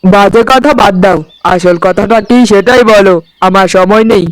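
An actor playing a blunt, impulsive, easily overwrought younger brother in a melodramatic cartoon story. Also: male voice, high voice, a little slow, no echo, low-quality recording. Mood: resentful, impatient. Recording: music under the voice.

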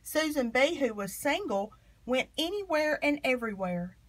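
A woman reading a children's picture book aloud with expressive, emphatic phrasing. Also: high-pitched, moderate pace, low-quality recording. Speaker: storybook reader.

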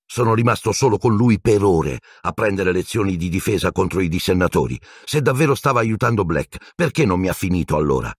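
A man voicing a defiant, indignant teenage schoolboy wizard in a storybook reading, argumentative and shouting back. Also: low voice, lively intonation, fairly quick, hardly any pauses, breathy edge, no echo, clean studio sound. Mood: protesting.

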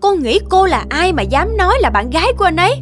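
A woman's voice: extremely high pitch, sing-song, quick pace. A woman voicing a snobbish, jealous office worker in a cartoon drama, haughty and scornful. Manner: sneering, haughty. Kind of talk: animated drama dubbing. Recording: music under the voice.

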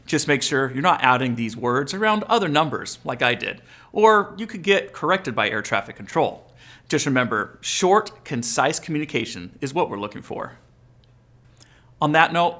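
A man's voice: medium pitch, very animated, normal speed, bright, forceful tone. A man in his forties with an American accent, explaining and coaching as a video host in a casual instructional style. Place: furnished living room, indoors.

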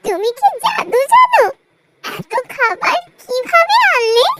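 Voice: extremely high pitch, wildly swooping pitch, slow pace, sharp tone, full character voice. A performer voicing a timid, hungry little orphan child in a children's cartoon, plaintive and pleading. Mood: amazed.

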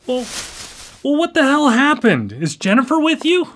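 A man's voice: extremely high pitch, wildly swooping pitch, normal speed, fairly bright tone, a little hiss or hum, exaggerated voice. An actor playing a dependent adult brother in a naturalistic radio drama, hesitant and defensive, stammering into his sentences as he pushes for independence. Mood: angry, alarmed.

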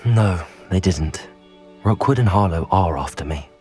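Polite teenage wizard, lively intonation. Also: male voice, sits low, a little slow. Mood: earnest, confiding. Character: polite, brave teenage schoolboy wizard hero. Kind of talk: video game cutscene acting. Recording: music behind the voice.

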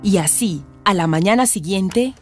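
A woman's voice: medium pitch, expressive, a little slow, darkish tone, faint music behind, lightly acted. A woman narrator reading scripted narration for a radio drama. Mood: storytelling.

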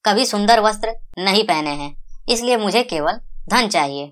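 A woman's voice: medium pitch, expressive, moderate pace, natural voice. Woman playing a plaintive, poor village boy. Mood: pleading. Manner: plaintive, insistent. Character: poor hungry village boy wanting money. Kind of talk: cartoon moral-story acting.

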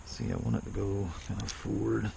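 Man, casual self-talk review outdoors; rambling, action-cam audio with steady noise. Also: fairly low pitch, a little fast, gravelly. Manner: rambling, self-deprecating, thinks aloud. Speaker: vlogger reviewing gear. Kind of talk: casual unboxing and ride vlog. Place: outdoors on a rural property. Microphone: action camera held in hand.

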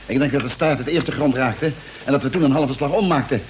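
An actor playing a brusque, excitable male crewman of a spaceship, blunt and exclamatory in 1950s radio drama style. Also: mid-range voice, fairly quick, slight background noise, close to an everyday voice. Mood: brusque, puzzled.

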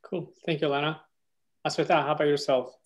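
A man's voice: on the high side, flat delivery, fast. A man hosting an online meetup, briefly introducing each member over a video call. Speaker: meetup host.

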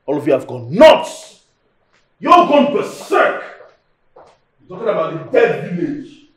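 A male actor plays an aggrieved Nigerian husband in a naturalistic film drama. His medium-pitched voice moves up and down dramatically. He is indignant and entitled, hammering his points with repeated rhetorical questions. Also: sing-song, a little fast, dark tone, close to an everyday voice. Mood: angry, scornful. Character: aggrieved, hot-tempered, entitled husband bound to tradition.

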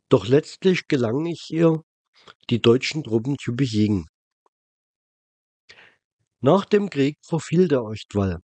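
A man reading a scripted, factual documentary narration in a measured way. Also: mid-range voice, dark tone, dry recording. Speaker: narrator of a travel documentary vlog.

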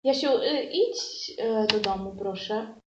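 A woman playing an evasive teenage older sister, speaking quietly and haltingly with gentle condescension in an improvised comedy. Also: medium pitch, voice pushed down, natural voice. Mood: hesitant pleading. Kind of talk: improvised comedy audio play on stage. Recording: muffled distant echoey recording, loud noise.

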